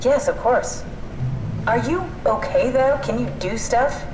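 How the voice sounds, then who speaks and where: An actress playing a brisk, matter-of-fact scientist companion in a sci-fi game, with a businesslike way of talking. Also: female voice, fairly high pitch, close to an everyday voice. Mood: concerned. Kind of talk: video game voice acting. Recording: echoey, noisy machinery ambience.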